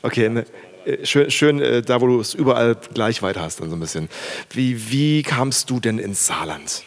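A man's voice: medium pitch, normal speed. A man moderating a live concert, announcing performers and chatting with a guest. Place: concert hall stage with audience. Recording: reverberant hall, audience.

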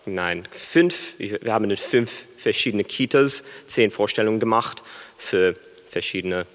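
A young American man speaking hesitant, halting German with an American accent, his voice on the low side. He pauses often and searches for words. A long reverb hangs behind him. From USA. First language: English. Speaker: baritone music student. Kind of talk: live stage interview.

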